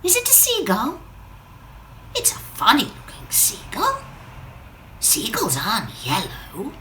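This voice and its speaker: Woman acting tiny female water drop, storybook character, squeaky-high, wildly swooping, wide-eyed, excitable, innocent. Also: takes it slowly, slight background noise, full character voice. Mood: curious, puzzled. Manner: wide-eyed, exclaiming and questioning.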